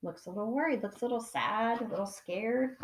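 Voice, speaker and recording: A woman teacher reading a picture book aloud to young children, with dramatic emphasis and pauses to ask them questions. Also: fairly high pitch, background noise. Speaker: pre-K teacher. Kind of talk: expressive children's storybook read-aloud. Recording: echoey room sound.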